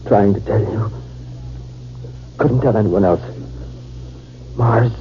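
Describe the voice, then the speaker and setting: Weak, halting low-voiced wounded colonel. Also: male voice. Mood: weak, desperate. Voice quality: weak, strained. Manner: halting, fragmented speech. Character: weak, dazed male colonel, urgent and haunted. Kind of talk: 1950s radio drama acting. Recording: dull, noisy old 1950s radio recording.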